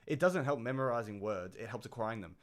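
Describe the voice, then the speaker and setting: A man's voice: mid-range voice, lively intonation, fast. Blunt, laid-back man. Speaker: co-host of a language-learning stream. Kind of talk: casual livestream chat. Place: room in Tokyo, live stream.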